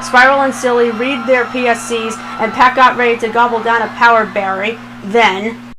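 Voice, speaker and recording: A woman narrates a written story in a fairly high voice. She reads the scripted prose aloud as storyteller, with music clearly playing underneath. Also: little pitch movement, moderate pace, rough recording. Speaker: fan fiction reader, narrator.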